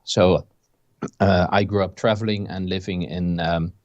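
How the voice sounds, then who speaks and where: Guest, Dutch man, about fifty, very low voice, calm storyteller, dull and dry call audio. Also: a little slow, no room sound. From Netherlands. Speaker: interview guest, game designer. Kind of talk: casual video-call interview.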